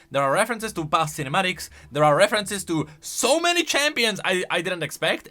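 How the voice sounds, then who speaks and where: A man reacts casually to video game lore, thinking out loud. His voice is bright and a little high, with animated swings in pitch. He talks in an expressive, conversational way. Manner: expressive, conversational, musing aloud.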